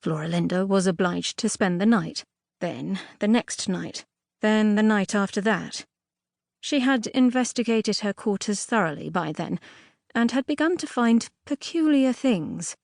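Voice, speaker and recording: A woman's voice: medium pitch, creaky, no room sound. Woman narrating a fairy tale, dry studio sound. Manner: expressive, with dramatic emphasis and pauses. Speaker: audiobook narrator. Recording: dry close studio audiobook recording.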